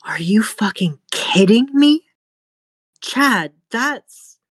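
A woman voicing a caring mother who speaks in a soothing, hushed way. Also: on the low side, normal speed, dry recording, studio quality, voice pushed up, lightly acted. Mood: shocked. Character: caring mother, gentle, fierce when angered.

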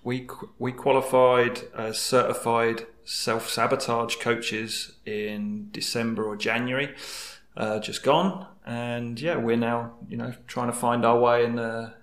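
A man's voice: mid-range voice, level tone, a little slow, fairly bright tone, roomy sound. A man from England with an English accent, a guest coach chatting hesitantly on a podcast with many fillers and restarts. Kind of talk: casual podcast chat.

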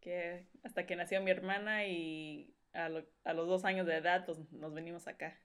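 Podcast guest: young woman, lower register, rambling conversational delivery. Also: fairly even intonation, moderate pace, fairly bright tone. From Mexico. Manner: rambling, many fillers. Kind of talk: casual conversational storytelling.

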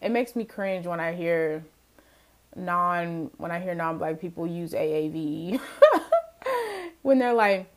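A woman's voice: on the low side, measured pace, pauses often. A young adult woman hosting her own podcast, chatting casually and laughing often as she talks to listeners. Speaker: podcast host. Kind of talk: casual solo podcast chat.

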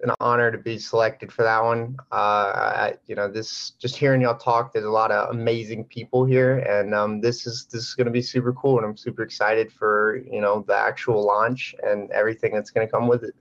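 A man's voice: lowish voice, flat delivery, brisk, hardly any pauses, a bit bright. Easygoing man, lots of fillers. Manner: loose, filler-heavy, easygoing. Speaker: guest artist, painter. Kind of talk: casual group call chat. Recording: dull lo-fi online call audio.

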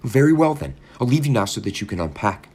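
A man's voice: mid-range voice, lively intonation, quick pace, darkish tone, breathy edge, voice pushed up. A man voicing a fussy, overly sweet older landlady who coos and gushes at her guest. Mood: cooing. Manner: fussy, cooing and gushing.

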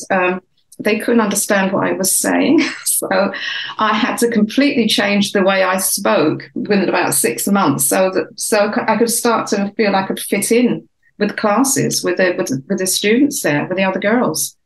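Older English woman, softened London accent, rambling guest storyteller, roomy echo. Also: mid-range voice, normal speed, gravelly. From England. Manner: reflective, rambling with many restarts. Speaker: author and guest. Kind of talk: casual interview chat.

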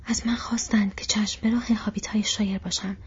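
A woman's voice: mid-range voice, flat delivery, slightly rough. A woman voicing a flustered, busy, middle-aged male innkeeper who talks in a whispery, rambling, apologetic way. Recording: dull lo-fi recording.

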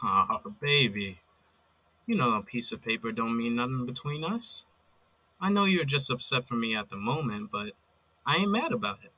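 An actor with an American accent playing a possessive, manipulative ex-boyfriend in an audio drama, coaxing and volatile. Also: male voice, medium pitch, expressive, normal speed, muffled recording, natural voice. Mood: sweet, coaxing. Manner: volatile, coaxing with pet names, swearing. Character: possessive, manipulative abusive ex-boyfriend, pleading and menacing.

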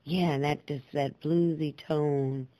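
A woman telling personal stories in a reflective, earnest, deliberate way, repeating phrases for emphasis, with clear, proper diction. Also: sits low, steady tone, warm tone, dry recording. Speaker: guest storyteller, poet and singer.

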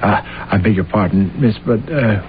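An actor playing a vain, self-deluded assassin in an old radio drama, speaking formally and hesitantly. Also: male voice, fairly low pitch, normal speed, close to an everyday voice. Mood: courtly, pretentious. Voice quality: hesitant, stammering. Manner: formal, self-important, courtly. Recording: muffled, noisy old radio broadcast recording.

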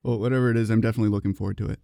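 A man's voice: on the low side, fairly even intonation, rapid-fire, vocal fry, warm tone, no echo. A man hosting an interview podcast in a casual, conversational way.

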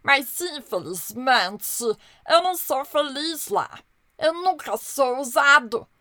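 A woman voicing a weak, unhappy little word that has run away and now asks softly and slowly for shelter. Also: high-pitched, animated, dry recording, clean studio sound, pitched above the performer's own voice, full character voice. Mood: wistful. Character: timid runaway little please-word, weak and sad.

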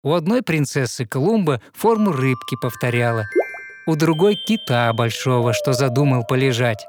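Male fairy tale narrator over soft music. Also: fairly high pitch. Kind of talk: scripted verse storytelling.

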